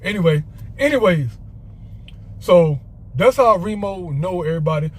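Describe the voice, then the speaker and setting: A man's voice: high voice, lively intonation, moderate pace, slightly rough. A man casually telling an off-the-cuff story, rambling and repeating himself. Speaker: storyteller vlogger.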